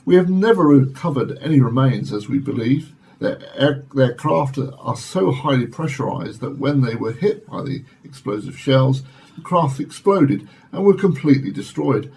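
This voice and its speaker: A man plays a measured academic in a sci-fi radio drama. His mid-pitched voice is rough and breathy, and he argues formally and earnestly. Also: expressive, a little mellow. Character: measured Oxford scientist defending alien visitors. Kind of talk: radio play acting as studio discussion.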